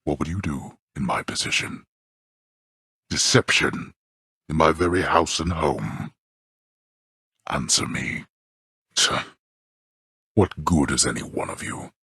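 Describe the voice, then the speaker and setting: An actor voicing a stern, contemptuous god of the dead in a video game, a haughty father with formal archaic speech and a gravelly voice. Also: a bass voice, takes it slowly, long pauses, pushed and bright, no echo, studio quality, exaggerated voice. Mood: cold reprimand. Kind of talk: video game character voice acting.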